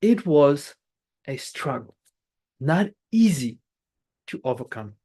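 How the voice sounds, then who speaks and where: Lively motivational speaker. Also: mid-range voice, slow, breathy edge. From Angola. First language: Portuguese. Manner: emphatic, repeats key phrases, restarts words. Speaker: club speech-giver. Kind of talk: prepared motivational speech.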